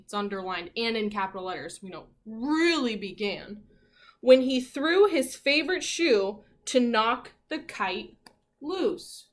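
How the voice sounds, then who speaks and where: A woman with a fairly high voice speaks as an elementary school teacher guiding a read-aloud. She puts animated emphasis into her delivery and often poses questions to young listeners, leaving room for answers. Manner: asks questions and pauses as if hearing answers. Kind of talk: interactive read-aloud lesson for young children.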